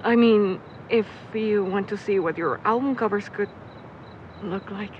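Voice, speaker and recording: A woman's voice: medium pitch, a little slow, lo-fi sound, slight background noise, natural voice. A woman playing a supportive, teasing young traveller in an audio drama, conversational and reassuring. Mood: teasing, friendly. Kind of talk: naturalistic audio drama acting.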